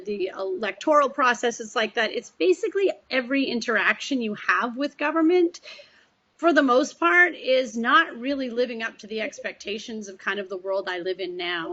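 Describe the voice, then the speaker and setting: A woman's voice: fairly high pitch, sharp tone, dull audio. A woman on a panel, talking in a thoughtful way with some restarts while she finds her words. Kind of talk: virtual panel discussion. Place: at home on a video call.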